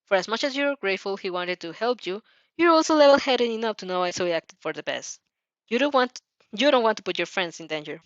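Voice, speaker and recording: A woman narrating a fan fiction story dramatically, with an expressive, somewhat halting delivery. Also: mid-range voice, dry recording, muffled recording.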